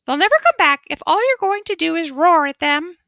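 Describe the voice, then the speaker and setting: A cheeky plump red parrot squawks in a very high, shrill voice, as a woman puts him on. He bounces all over his pitch in a storybook tale, bright and sharp, close and dry. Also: expressive, fairly quick, no echo, exaggerated voice. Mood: matter-of-fact.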